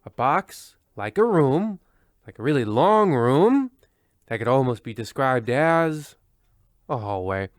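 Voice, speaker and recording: A man's voice: medium pitch, dry recording, studio quality, lightly acted. A man playing a pompous, portentous narrator host of a parody radio mystery anthology.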